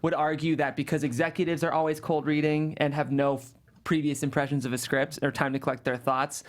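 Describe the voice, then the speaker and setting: A man's voice: on the high side, flat delivery, a little fast. A man hosting a script table read, introducing it and reading out stage directions. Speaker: host and writer of the script.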